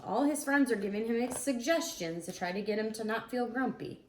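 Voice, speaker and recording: A woman reading a storybook aloud to young children in an expressive, deliberate manner. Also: on the high side, a little hiss or hum. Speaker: school instructional coach reading aloud. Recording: roomy, echoey sound.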